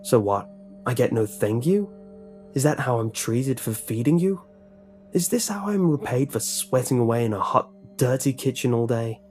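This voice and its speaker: A man's voice: medium pitch, expressive, normal speed, a little mellow, soft background music, close to an everyday voice. A man voicing a bitter, menacing female school lunch lady who speaks in clipped, broken English with a put-on accent. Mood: resentful. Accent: put-on foreign accent. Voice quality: clipped, resentful, broken grammar.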